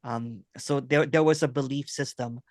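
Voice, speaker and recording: A man speaking as a spiritual teacher in a relaxed conversation, often asking "right?" and "you know what I'm saying?". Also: mid-range voice, normal speed, no echo, clean studio sound. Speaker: non-duality teacher.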